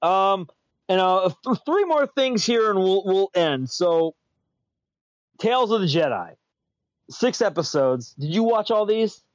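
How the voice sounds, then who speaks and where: Rambling man, slightly high voice. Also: expressive, unhurried, dry recording. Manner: rambling, repeats and restarts words, chuckles often. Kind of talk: casual pop-culture chat. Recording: dull lo-fi dry sound.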